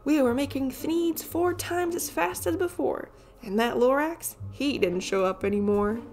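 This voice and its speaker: A woman's voice: high voice, a little slow, a little mellow, a little hiss or hum. A woman reading a children's storybook aloud, expressively and in rhyme. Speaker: storybook reader. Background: faint music.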